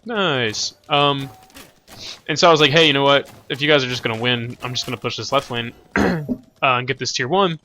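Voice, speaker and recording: A man's voice: medium pitch, moderate pace, bright, forceful tone. A young man with a stuffy nose, casually commentating on his own gameplay in a rambling way, full of fillers and self-corrections.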